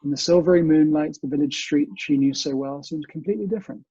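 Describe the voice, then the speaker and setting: A man, a guest reader who chats casually and reads storybooks aloud in a measured, expressive way over a video call. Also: fairly high pitch, slightly rough. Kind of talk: storybook reading and casual chat. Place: indoors, remote online session. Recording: muffled, echoey video call audio.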